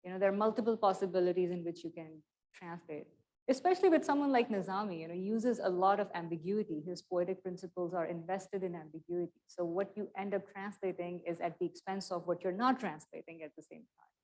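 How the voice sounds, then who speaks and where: A woman with a slightly low voice answers questions after her lecture. She speaks in a measured, thoughtful way, pausing and restarting as she works through ideas. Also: a little mellow, poor audio. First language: not Persian. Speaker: guest lecturer. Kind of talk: academic Q and A answers. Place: lecture room.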